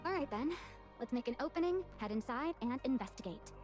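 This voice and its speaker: Playful young game heroines, speaking in a high, light voice with animated delivery. This is polished video game dialogue, with faint music playing underneath. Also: female voice, fairly quick.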